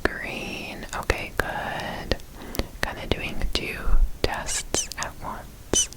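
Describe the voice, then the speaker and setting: Asmr lady doing a soft examiner roleplay, all breathy whisper, really slow, reassuring, repeats words. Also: rough recording. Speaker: ASMR performer playing an examiner.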